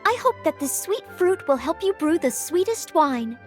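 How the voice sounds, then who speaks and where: A woman's voice: very high voice, big pitch swings, moderate pace, darkish tone, faint music behind. A woman playing a polite, eager young maid knight in a video game, courteous and earnest. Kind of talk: video game character acting.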